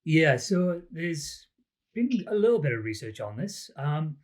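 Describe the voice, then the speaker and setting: A middle-aged man with a Welsh accent, chatting as an interview guest with relaxed, self-deprecating answers full of ums. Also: a little high, unhurried. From Wales. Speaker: academic guest, lie detection researcher.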